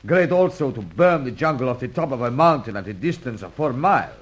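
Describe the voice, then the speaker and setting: An actor playing a boastful, scheming villain with a superweapon in a radio serial, grandiose and gloating. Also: male voice, medium pitch, animated, fairly quick, slightly rough. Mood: proud. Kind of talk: old-time radio adventure serial acting.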